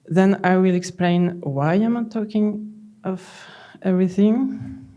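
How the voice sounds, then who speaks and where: A middle-aged woman from France with a French accent, giving a calm, gently humorous conference talk from a lectern in a large hall. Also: mid-range voice, slow, highs cut off. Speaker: conference speaker.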